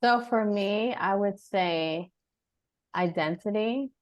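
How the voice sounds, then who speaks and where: A woman's voice: medium pitch, slow pace, no echo. A woman from Jamaica with an American accent, a panel guest giving a thoughtful personal account over a video call.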